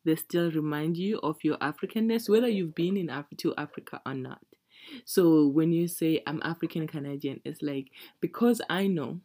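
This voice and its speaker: Give me a low-voiced woman from Zimbabwe, reflective and measured. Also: mellow. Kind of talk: casual conversation.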